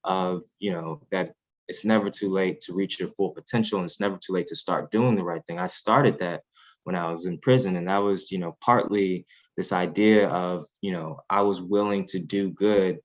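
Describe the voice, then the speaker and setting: Earnest deep-voiced man, measured. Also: rough recording. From United States, Haitian family. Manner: measured, earnest, many fillers and restarts. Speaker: podcast guest, author. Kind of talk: reflective interview talk.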